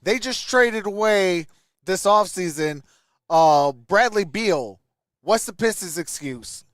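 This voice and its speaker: A man's voice: high-pitched, animated, slow pace, fairly bright tone, no echo, studio quality. A man hosting a sports livestream, chatting casually and commenting as he answers viewers. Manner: greets viewers by name, conversational.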